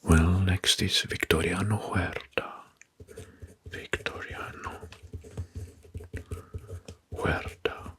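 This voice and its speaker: A man narrating soft-spoken ASMR in a breathy, husky whisper, deliberately repeating names and phrases. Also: low-pitched, fairly even intonation. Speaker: host of an ASMR history channel.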